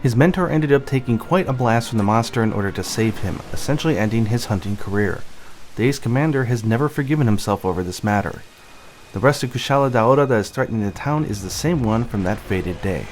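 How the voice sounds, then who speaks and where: A man's voice: medium pitch, expressive, fast. A man giving light scripted narration over game cutscenes, explaining the story as he goes. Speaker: narrator and channel host. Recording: music behind the voice. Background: game music.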